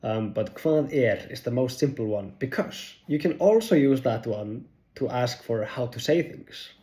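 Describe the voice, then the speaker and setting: A young man talks through language-learning tips to camera, chatty and self-deprecating, trailing into fillers and restarts. His voice sits in a mellow, relaxed register at a middle pitch. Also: measured pace. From Iceland. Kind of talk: casual talking-head tips video.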